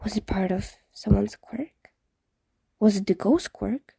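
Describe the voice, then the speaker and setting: Mellow woman reading a story aloud. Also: mid-range voice, measured pace, vocal fry, husky, dry recording, clean studio sound. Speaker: fan fiction reader. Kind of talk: scripted story narration, amateur audiobook reading.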